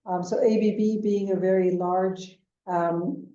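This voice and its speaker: American businesswoman guest, fifties, mellow tone, distant and dull line, measured with fillers. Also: mid-range voice, steady tone. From United States. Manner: measured, with frequent ums and uhs. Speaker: venture capital executive, guest. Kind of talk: interview answers. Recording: distant, echoey, dull remote call audio.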